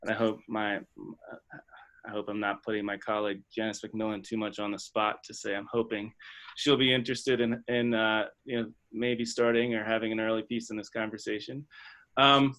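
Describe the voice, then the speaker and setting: Guy facilitating a webinar over video call, careful academic wording, kinda halting and tentative. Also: on the low side, flat delivery, a bit bright, poor audio. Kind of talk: hesitant, thoughtful facilitated discussion.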